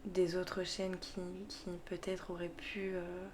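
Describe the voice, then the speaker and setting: A woman with a fairly low voice talks slowly and without a script, stopping and restarting her sentences. She often drops to a soft, half-whispered tone and laughs quietly at herself. A faint hiss sits under her voice. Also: monotone. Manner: slow, hesitant, with many fillers and restarts. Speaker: tarot reader and channel host. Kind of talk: unscripted casual vlog monologue.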